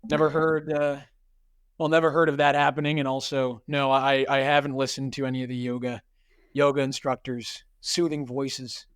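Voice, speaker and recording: Clear-voiced young-sounding man, easygoing. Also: medium pitch, little pitch movement, no room sound, studio quality. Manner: easygoing, halting with fillers. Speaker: podcast host, panel guest. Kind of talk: casual panel chat.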